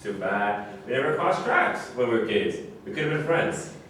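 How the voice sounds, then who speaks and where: A man's voice: mid-range voice, sing-song, normal speed, fairly bright tone. A man in a staged reading, playing a proud but dissatisfied former basketball star. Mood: wry. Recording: echoey room from far off, faint noise.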